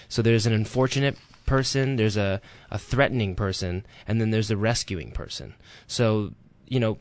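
A man co-hosting a talk radio show, explaining things calmly with fillers. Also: fairly low pitch. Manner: reads a listener letter aloud, explains calmly with fillers. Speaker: radio co-host.

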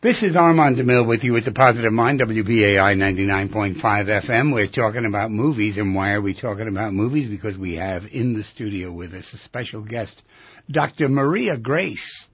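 Husky-voiced male radio host. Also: lowish voice, a little fast, dry recording. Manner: probing, leading the guest with questions. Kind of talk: radio interview. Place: radio studio. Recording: dull lo-fi radio studio audio.

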